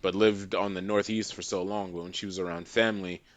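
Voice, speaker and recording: A man's voice: deep voice, fairly even intonation, fairly quick, no room sound, highs cut off. A man from Massachusetts, a podcast guest, rambling with many restarts in casual chat.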